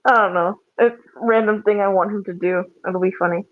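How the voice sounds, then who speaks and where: A woman's voice: mid-range voice, steady tone, normal speed, dry recording. A woman casually narrating her character's antics in a tabletop roleplay game. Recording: dull, lo-fi close microphone.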